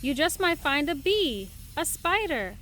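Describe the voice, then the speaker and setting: Slow, high-voiced woman reading a picture book. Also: sing-song, bright, forceful tone, slight background noise. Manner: sing-song, patient, pauses to count and point. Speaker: parent reading aloud to a child. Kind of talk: picture book read-aloud to a small child.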